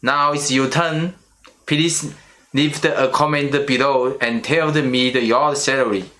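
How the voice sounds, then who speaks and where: Slow-speaking middle-aged man, Chinese accent. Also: mid-range voice, steady tone, very noisy. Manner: slow and careful, with restarts on small words. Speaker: channel host. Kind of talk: personal vlog monologue.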